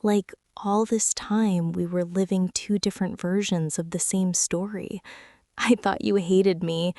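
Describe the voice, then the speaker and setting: A woman playing a young former bully in an intimate ASMR roleplay, speaking in a hushed, hesitant way that often slips toward a whisper. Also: medium pitch, dry recording, clean studio sound. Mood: wistful, tender. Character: young female former bully, stunned, teasing, softening into tenderness.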